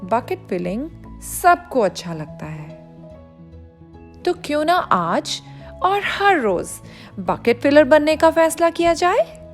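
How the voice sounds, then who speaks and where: A woman's voice: high-pitched, very animated, slow pace, soft background music. A woman reading a picture book aloud to children, explaining as she goes and speaking straight to the young listener. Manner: explanatory, addresses the child listener directly, glosses words. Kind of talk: expressive read-aloud narration for children.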